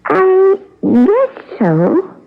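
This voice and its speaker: A woman's voice: very high voice, huge pitch swings, extremely slow, vocal fry, full character voice. An actress playing a mysterious, hospitable old village woman in a radio drama, with a quaint, folksy, vaguely drifting manner. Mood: wistful, vague. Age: older. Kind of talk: 1980 radio drama acting. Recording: noisy, narrow-band old radio broadcast.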